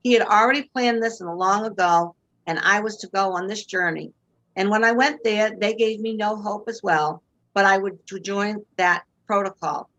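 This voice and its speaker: An older woman with a Boston accent speaks over a webcam from a room at home. She tells her story earnestly and with strong faith, in a voice of ordinary middle pitch. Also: moderate pace, fairly bright tone, highs cut off. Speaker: guest. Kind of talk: personal testimony in interview. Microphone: video call webcam.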